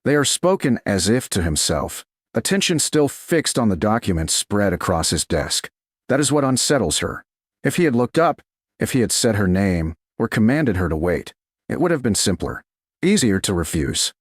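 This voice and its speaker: A man with a low voice reads romance fiction in measured, deliberate phrases. His intonation swings widely as he narrates, and the sound is dry and close. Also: very animated, slightly rough, no room sound. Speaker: audiobook narrator. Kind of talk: scripted romance narration, slow-burn.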